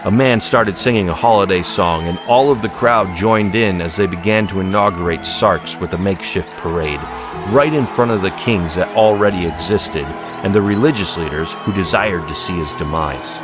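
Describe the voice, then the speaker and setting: Low-voiced man narrating over music. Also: normal speed. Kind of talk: dramatic audiobook narration. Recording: music behind the voice.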